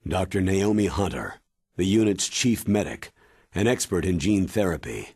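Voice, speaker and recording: An actor playing a stern military commander briefing an agent in a video game, grave and measured in manner. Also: male voice, low-pitched, expressive, a little mellow, no echo, studio quality. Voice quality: husky, weighty. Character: stern, authoritative older military commander giving mission briefing. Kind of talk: dramatic video game cutscene acting.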